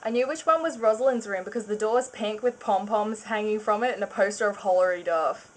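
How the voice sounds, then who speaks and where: A woman's voice: mid-range voice, brisk. Woman doing a goofy dramatic reading, keeps cracking up, sarcastic little asides. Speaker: reader of her own fan fiction.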